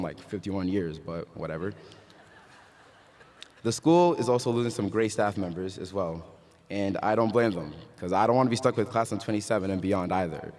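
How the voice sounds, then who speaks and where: A young man from Ghana speaking at his graduation, his voice very low and set in the long reverb of a large hall. He mixes casual jokes with earnest encouragement. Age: young adult. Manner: casual joking, then earnest and uplifting. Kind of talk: commencement speech.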